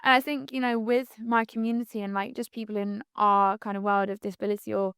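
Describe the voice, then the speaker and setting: A woman's voice: mid-range voice, level tone, fast, a bit bright, clean tone, dry recording. A woman, talking reflectively as a podcast guest, full of likes and you-knows. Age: 20s. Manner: chatty, reflective, many "like"s and "you know"s. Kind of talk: casual conversational interview.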